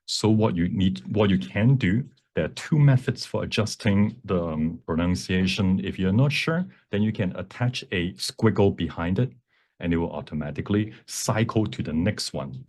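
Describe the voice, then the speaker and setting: Man, deep voice, bilingual instructor, step-by-step explanation, halting phrasing with repeats. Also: expressive, darkish tone. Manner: bilingual, switches between English and Cantonese. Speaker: workshop presenter, instructor. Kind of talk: live software demonstration, explanatory teaching.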